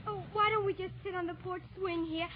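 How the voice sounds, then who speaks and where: An old radio comedy sweetheart, with a very high, girlish voice. She is sweet, demure and coy as a young date on a porch. The vintage recording is dull and muffled. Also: steady tone, a little fast, some chatter behind. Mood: coy, polite. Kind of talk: 1940s radio comedy acting.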